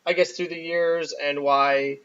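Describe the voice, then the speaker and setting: A man's voice: little pitch movement, unhurried, fairly bright tone, clean tone. A young man hosting a film podcast, chatting casually with a nasal voice and a self-deprecating, rambling way of talking. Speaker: podcast host. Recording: muffled low-quality recording.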